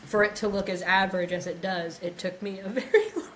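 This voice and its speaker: A woman's voice: medium pitch, steady tone, brisk, fairly bright tone. A woman co-hosting a podcast in casual, conversational chat, with some noise behind her. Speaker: podcast co-host.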